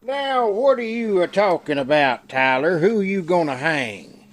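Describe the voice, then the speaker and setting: A man's voice: sits high, animated, measured pace. An American man with a rural accent playing a good-hearted, fretful frontier sidekick in a radio Western. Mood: protesting. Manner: folksy, fretful. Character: good-hearted, worrying, folksy frontier deputy's helper. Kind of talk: old-time radio Western acting.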